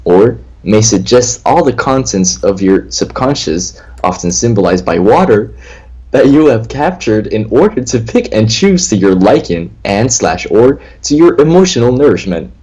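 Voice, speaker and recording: A man's voice: lowish voice, animated, moderate pace, darkish tone, slightly rough, muffled recording. A twenty-year-old man co-hosting a casual livestream chat, rambling and often repeating himself. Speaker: co-host of a lucid dreaming stream. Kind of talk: casual livestream chat, reading viewer questions.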